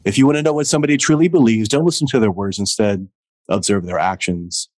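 A man hosting an interview podcast, introducing his guest in an energetic, upbeat way. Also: lowish voice, quick pace, no echo. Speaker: podcast host.

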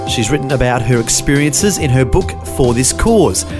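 A man's voice: mid-range voice, animated, moderate pace. Australian male host over guitar music. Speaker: podcast host. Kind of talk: scripted show introduction.

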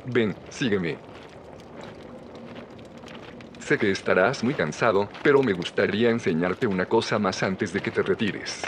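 An actor playing a calm, secretive male scientist who leads a hidden community, measured and evasive, in an audio drama. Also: lowish voice, natural voice. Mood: guiding, mysterious. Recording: reverberant cave with noisy ambience.

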